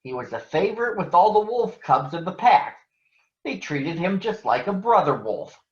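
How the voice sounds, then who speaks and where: Guy playing a protective panther telling a jungle story, earnest, bit high, echoey room. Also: big pitch swings, normal speed. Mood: earnest storytelling. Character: protective male panther narrating a jungle tale. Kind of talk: storybook narration. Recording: roomy echo, dull lo-fi sound.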